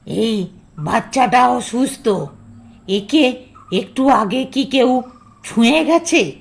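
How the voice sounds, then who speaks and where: Slow, creaky, menacing sorceress. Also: female voice, a little high, animated, exaggerated voice. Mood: ominous, demanding. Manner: slow, drawn-out, ominous taunting. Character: menacing, accusing female village tantric sorceress. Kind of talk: Bengali horror audio drama acting.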